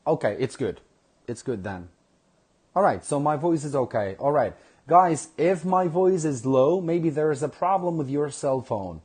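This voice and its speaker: Guy teaching english on a livestream, kinda husky, says stuff twice slow for the students. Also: fairly high pitch, animated, a little mellow. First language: Kurdish. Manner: slow, repeats phrases for learners. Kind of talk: live online English lesson.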